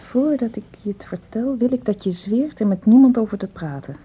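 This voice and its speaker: A young woman in danger in a radio play, with a mellow, slightly husky voice. She is earnest and insistent, pressing each point deliberately. The recording is dull and lo-fi. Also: on the high side, animated. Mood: urgent, insistent. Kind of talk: radio drama acting.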